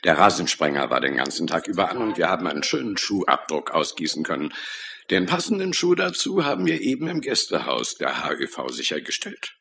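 An actor playing a brisk, matter-of-fact police detective in a radio crime drama. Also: male voice, deep voice, very animated, sharp tone, full character voice. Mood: brisk, triumphant. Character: brisk male police detective reporting findings.

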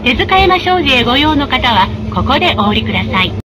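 A woman's voice: very animated, a little fast. A woman reading scripted advertising announcements on a tram in a polite, polished sales delivery. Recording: noisy in-vehicle recording with tram rumble. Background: tram running noise.

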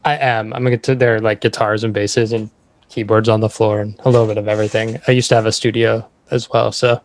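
A man's voice: lowish voice, little pitch movement, quick pace. A man hosting a podcast, chatting informally with short, agreeable reactions. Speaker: podcast host. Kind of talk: casual interview chat.

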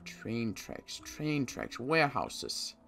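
A man's voice: mid-range voice, animated, slow, gravelly, bright, forceful tone, heavily acted. A man playing a suspicious, agitated apocalypse survivor in improvised game roleplay, muttering to himself with stammers and repeated words. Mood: pondering, muttering.

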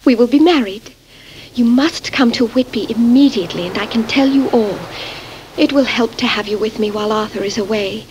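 Bright, high-voiced young Victorian woman played by a woman. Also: normal speed, dull audio, noisy background, close to an everyday voice. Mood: eager. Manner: bright, eager, confiding. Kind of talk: 1970s radio drama.

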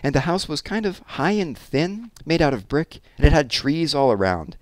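Man putting on a high-pitched folksy hairdresser woman. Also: big pitch swings, normal speed, no echo. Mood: reminiscent. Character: chatty, wistful hairdresser woman, folksy and kindly.

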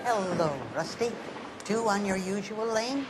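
A woman's voice: medium pitch, measured pace, background noise, close to an everyday voice. A woman playing a dry, casual elderly attendant at a counter in a video game. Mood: familiar. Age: older. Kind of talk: video game cutscene acting.

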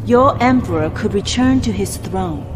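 A woman's voice: medium pitch, expressive, moderate pace, noisy background, lightly acted. A young woman playing a cold, imperious adviser to a ruthless house, speaking in a clipped, formal, commanding way. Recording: music bed behind the voice.

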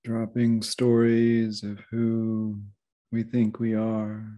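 A man leading a guided meditation as a teacher, speaking gently and unhurriedly with long pauses. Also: fairly low pitch, completely flat delivery.

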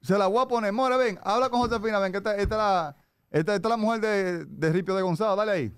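A man's voice: high voice, quick pace, clean tone, dry recording. A young Dominican man hosting a radio show, teasing and insistent in comic phone-prank banter, on a close studio microphone. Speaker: radio show host making a prank call. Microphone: close broadcast microphone with headset.

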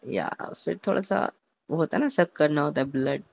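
A young man in an intimate, casual phone chat, telling a romantic scenario haltingly, repeating words and mixing in English. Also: on the high side, creaky. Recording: muffled lo-fi phone call recording.

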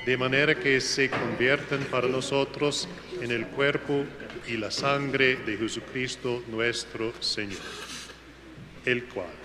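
A man reciting the Mass prayers as a priest, in a slow, solemn liturgical manner. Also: medium pitch, steady tone. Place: church. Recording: echoey church with noise.

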